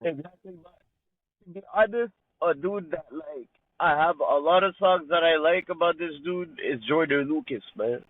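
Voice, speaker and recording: Laid-back rapper guest on a tinny call line. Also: male voice, fairly high pitch, moderate pace. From Montreal, Canada. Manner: laid-back slang, frequent "you know", "man", "bro". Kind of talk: casual interview chat.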